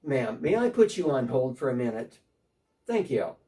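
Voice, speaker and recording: A man's voice: mid-range voice, sing-song, moderate pace, dark tone, far from the mic, pitched below the performer's own voice, lightly acted. A man playing a defensive, talkative tax collector in a church dramatic reading, speaking one side of a phone call.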